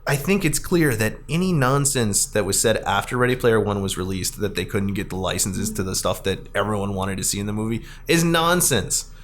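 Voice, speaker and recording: Animated man hosting a casual podcast. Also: on the low side, fairly quick, hardly any pauses, slight background noise. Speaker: podcast host. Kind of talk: casual movie discussion.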